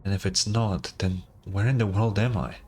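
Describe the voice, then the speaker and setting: Low-voiced man streaming a game. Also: normal speed, a little mellow. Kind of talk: casual live game commentary, reading dialogue aloud. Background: game music.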